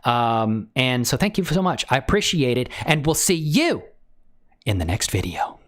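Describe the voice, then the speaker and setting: Chatty streamer doing a tutorial, super lively pitch swings, casual and slangy, enthusiastic. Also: moderate pace. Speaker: livestream host and tutorial creator. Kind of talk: casual livestream chat with software tutorial.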